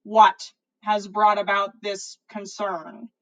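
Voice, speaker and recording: A stern male wizard professor from a storybook, acted out by a woman. He speaks slowly and coldly, with open contempt. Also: medium pitch, level tone, rough recording. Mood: suspicious. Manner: slow, cold, disdainful.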